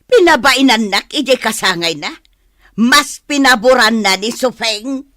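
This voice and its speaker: A grudge-holding older village mother with an Ilocano accent. She is pushy and aggrieved, with a bright, swooping, highish voice in a close, dry radio-play style. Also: lively intonation, measured pace, dry recording. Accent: Ilocano, northern Philippines. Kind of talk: radio comedy drama acting.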